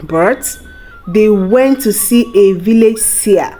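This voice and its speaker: A woman narrating a scripted folktale in a dramatic, unhurried storytelling manner. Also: mid-range voice, mellow. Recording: music bed under the voice. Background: music.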